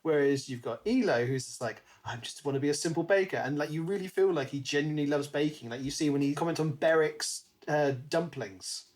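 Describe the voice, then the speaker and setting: A man's voice: a little high, fairly quick, hardly any pauses, darkish tone. A man co-hosting a podcast, discussing a book casually and conversationally, slipping into paraphrased quotes. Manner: conversational, acts out imagined quotes. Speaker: podcast co-host.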